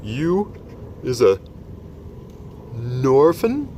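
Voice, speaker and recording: A big, kindly old giant who bends his words and invents new ones, his voice pitched up and swinging widely, as a man reads him aloud. It sits on a steady hum of background noise, like a storybook read at home. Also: moderate pace. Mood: gentle, fretful. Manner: mangled grammar and made-up words. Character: huge kindly old male giant, tender-hearted.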